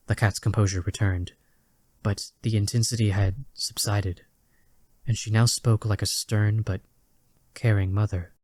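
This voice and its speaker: Low, steady male story narrator. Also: no room sound. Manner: measured, literary storytelling. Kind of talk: scripted dramatic story narration.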